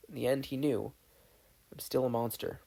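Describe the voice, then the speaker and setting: A narrator voicing an upset, gentle, self-doubting teenage boy who speaks hesitantly and stammers. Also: mid-range voice, fairly even intonation, normal speed, gravelly. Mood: distraught.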